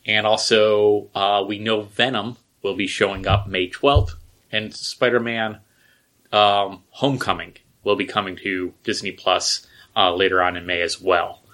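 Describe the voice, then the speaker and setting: A man's voice: on the low side, steady tone, unhurried, pushed and bright. A man co-hosting a casual podcast who rambles through movie news and trails off as he searches for titles. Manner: rambling, loses words mid-sentence. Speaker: podcast co-host.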